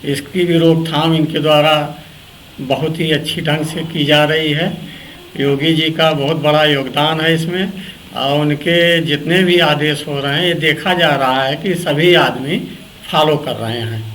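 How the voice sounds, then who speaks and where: Male guest commentator, really slow talker, plain spoken, slightly high voice, faint noise behind. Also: fairly even intonation, fairly bright tone, low-quality recording. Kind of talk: conversational political commentary.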